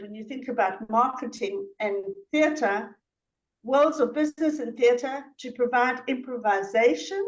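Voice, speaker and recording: Higher-pitched woman host, dull lo-fi sound. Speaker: interview host, coach. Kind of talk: interview hosting.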